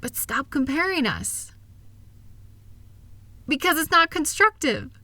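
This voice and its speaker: A woman playing a kind, reassuring wife who gently and earnestly encourages her partner in an intimate one-sided roleplay audio. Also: high-pitched, very animated, slow, dry recording, exaggerated voice. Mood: gently firm.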